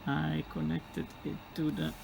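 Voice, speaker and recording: A man in his forties with a Dutch accent, repairing an old radio and thinking aloud step by step with hesitant pauses. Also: on the high side, big pitch swings, creaky, noisy background. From Netherlands. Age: 40s. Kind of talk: hands-on repair vlog.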